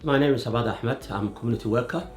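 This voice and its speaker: A man from Somalia, a community worker giving halting interview answers full of fillers, then firm advice. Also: mid-range voice, soft background music. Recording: echoey hall.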